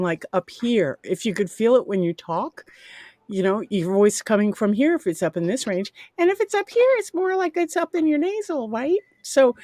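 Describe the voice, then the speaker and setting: Middle-aged woman with a low soft voice. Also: no echo, clean studio sound. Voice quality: low soft voice she calls ASMR-like. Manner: heartfelt, rambling, proud. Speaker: parent sharing a story. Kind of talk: casual group chat. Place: home video chat. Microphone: video call.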